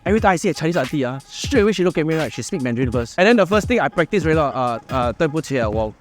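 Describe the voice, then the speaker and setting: A man's voice: fairly high pitch, big pitch swings, very fast. A man with a Malaysian English accent tells stories in a loose, joking way, his voice rising and falling a lot. He laughs often and drops in Cantonese and Malay words. Faint music plays behind him. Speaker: guest. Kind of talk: casual chat.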